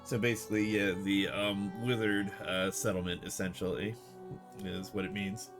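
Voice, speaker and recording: A man streaming a game live, translating Japanese as he goes, relaxed and thinking aloud with many fillers. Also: on the low side, moderate pace, music in the background.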